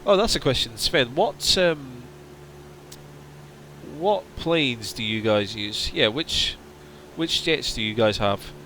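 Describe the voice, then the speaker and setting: A man in the middle of his pitch range talks through a relaxed livestream, answering viewers as he goes. His voice is straining and turning hoarse from fatigue. A faint hum sits under the voice. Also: takes it slowly. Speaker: flight sim streamer. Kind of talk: casual livestream chat.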